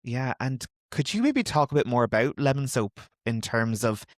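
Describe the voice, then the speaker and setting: A man hosting an interview, asking friendly, gently hesitant questions and teasing his guest. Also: mid-range voice, sing-song, normal speed, no echo, clean studio sound. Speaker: interviewer host.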